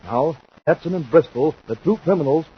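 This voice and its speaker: A man narrating as a 1950s radio announcer, in a dramatic, deliberate scripted delivery. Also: on the high side, lively intonation, breathy edge. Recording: muffled, noisy 1950s radio recording.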